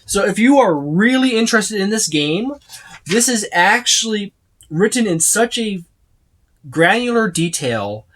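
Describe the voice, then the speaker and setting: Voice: mid-range voice, fairly bright tone. A reviewer talking casually and unscripted through a tabletop game book, rambling along and often listing items one after another. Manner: rambling, repeats and lists items.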